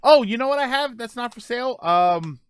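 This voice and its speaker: Animated man hosting a casual stream. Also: very high voice, normal speed, clear, resonant tone, no echo. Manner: rambling, joking, animated. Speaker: podcast host answering viewer questions. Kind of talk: casual live stream chat.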